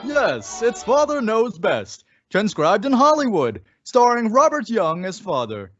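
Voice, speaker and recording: A man's voice: high voice, huge pitch swings, measured pace, loud music behind the voice, exaggerated voice. A man playing a folksy, wry announcer and narrator in an old-time radio comedy. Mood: polished salesmanship. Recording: dry, dull home recording.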